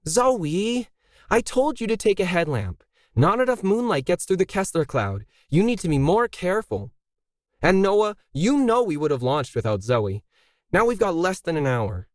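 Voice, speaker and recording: A bossy fifty-something woman in a science fiction audiobook, acted out by a male narrator. The voice is pitched high, with an impatient, chiding manner and a close, dry sound. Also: very animated, moderate pace, no echo. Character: brisk, scolding fifty-something woman, launch facility owner.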